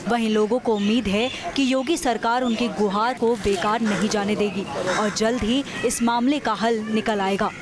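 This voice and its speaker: A woman's voice: on the high side, fairly even intonation, normal speed, crowd noise. A woman reading a scripted news report as a voice-over.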